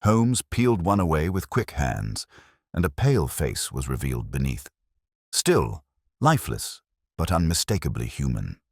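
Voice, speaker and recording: A man's voice: extremely low pitch, big pitch swings, normal speed, vocal fry, a little mellow, dry recording, studio quality. A man reading a mystery story as an audiobook narrator, in a dramatic scripted style.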